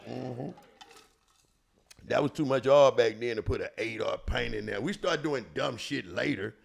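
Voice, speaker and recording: A man with a heavy gravel and fry in his voice speaks in a Houston, Texas accent. He tells stories casually, in slangy, profane, emphatic street talk that circles back on phrases. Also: mid-range voice, moderate pace. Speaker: storyteller and show regular.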